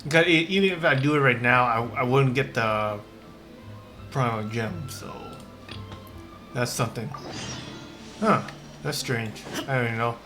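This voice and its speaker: A man's voice: medium pitch, a little slow, long pauses, over music. A man giving casual, rambling gameplay commentary as a streamer, hesitating and repeating his words.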